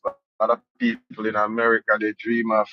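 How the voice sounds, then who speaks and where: A man's voice: fairly low pitch, monotone, a little fast. A Jamaican man giving casual political commentary, indignant and sarcastic. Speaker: vlogger. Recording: dull lo-fi dry sound.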